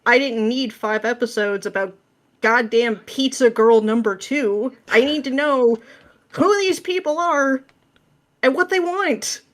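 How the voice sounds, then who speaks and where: A woman's voice: on the high side, unhurried. A woman guesting on a podcast, ranting casually with exasperated sarcasm and dramatic, mimicking emphasis.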